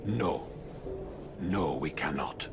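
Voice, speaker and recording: Deep, gravelly old mutant sensei. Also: male voice, lively intonation, exaggerated voice. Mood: grave worried. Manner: solemn, measured. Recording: loud music behind.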